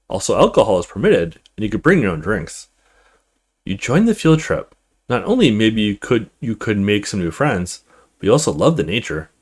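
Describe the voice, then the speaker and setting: A man doing a let's play, reading a horror text game aloud dramatically, stumbling now and then and adding casual asides. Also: medium pitch. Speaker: video game streamer.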